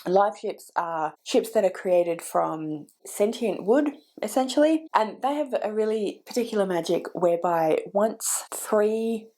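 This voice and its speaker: A woman chatting casually to camera about book recommendations, sounding stuffy and croaky from a cold, wandering a little and correcting herself as she goes. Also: mid-range voice, expressive, unhurried, hardly any pauses, mellow. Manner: chatty and meandering, with restarts and self-corrections. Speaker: book vlogger.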